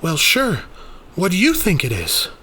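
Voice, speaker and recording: A man's voice: sits high, wildly swooping pitch, a little slow, pushed and bright, slight background noise, voice pushed up, full character voice. A man voicing a tough, sarcastic, hard-drinking woman sidekick in an audio story, impatient and exasperated. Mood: dry. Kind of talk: acted dialogue in an audio story.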